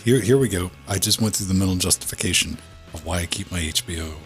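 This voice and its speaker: A man's voice: deep voice, quick pace, soft background music. A man hosting a podcast, rambling casually with joking asides. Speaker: podcast host.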